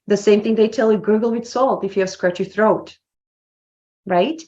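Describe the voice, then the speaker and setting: A woman's voice: mid-range voice, moderate pace, warm tone, lo-fi sound. A woman from Slovakia, answering interview questions as a wellness clinic founder, explaining at length and often repeating and restarting her words.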